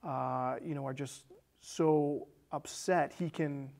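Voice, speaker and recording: A man's voice: on the high side, steady tone, takes it slowly, vocal fry, darkish tone. A man in his thirties with an American accent, casually recapping a film as a co-host, often restarting and repeating phrases. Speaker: film discussion show co-host. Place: small indoor studio set with curtain.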